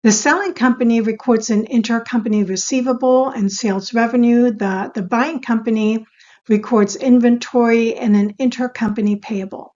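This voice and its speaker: A woman giving a scripted explainer as an instructor, in a measured, step-by-step teaching manner. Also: a little high, darkish tone, dull audio. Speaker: accounting instructor.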